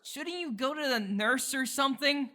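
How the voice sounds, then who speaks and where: A very high, piercingly bright teenage cat boy played by a man, gentle and anxious in an audio drama. He sounds fretful, earnest and caring, with an unhurried, animated delivery. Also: heavily acted. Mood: alarmed, worried.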